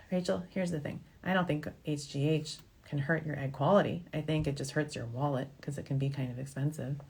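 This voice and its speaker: Low-voiced woman, frank advice-show host. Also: husky. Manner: conversational, frank, reassuring. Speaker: fertility doctor hosting a question-and-answer show. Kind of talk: informal advice talk, answering viewer questions.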